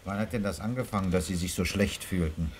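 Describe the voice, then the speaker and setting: Male actor for persistent detective commissioner in a radio play, fairly deep, unhurried questioning and thoughtful grunts. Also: a little hiss or hum, lightly acted. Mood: polite, probing. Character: calm, probing, persistent male police commissioner. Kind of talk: radio crime drama acting.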